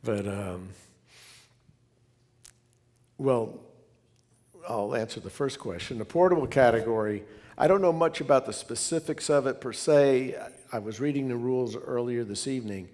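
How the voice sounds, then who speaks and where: A man's voice: medium pitch, moderate pace, darkish tone. A man giving casual, plain-spoken, somewhat hesitant answers as the presenter of an informal club talk. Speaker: presenter taking questions. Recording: echoing hall with long reverb.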